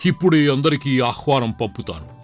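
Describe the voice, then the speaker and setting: A man's voice: mid-range voice, expressive, normal speed, soft background music, natural voice. A man voicing a deferential, sensible royal minister who speaks formally and courteously. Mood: deferential, advising.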